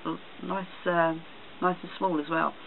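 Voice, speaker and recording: Guy doing a casual product vlog, kinda halting, thin phone-like audio with clear noise. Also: high-pitched, fairly even intonation. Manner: hesitant, halting delivery. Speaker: product reviewer. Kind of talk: casual show-and-tell vlog. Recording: tinny phone-like sound, loud noise, clicks.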